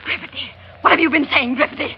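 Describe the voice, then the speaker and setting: An actress playing a pleading, scheming former lover of a convict in an old radio drama. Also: female voice, sits high, fairly even intonation, fairly quick, a little hiss or hum. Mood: alarmed. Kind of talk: 1940s radio drama acting. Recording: dull old radio recording.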